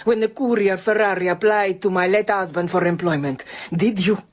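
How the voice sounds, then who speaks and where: An actress playing an imperious, tormented widowed Contessa in a radio drama, theatrical and intense. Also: female voice, mid-range voice, normal speed, lightly acted. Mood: grave, intense.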